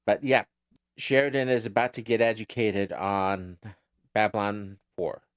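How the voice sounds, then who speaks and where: A man's voice: lowish voice, flat delivery, measured pace, smooth, no room sound, studio quality. A man co-hosting a podcast, chatting casually through an episode recap, often repeating words as he starts a thought. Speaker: podcast co-host.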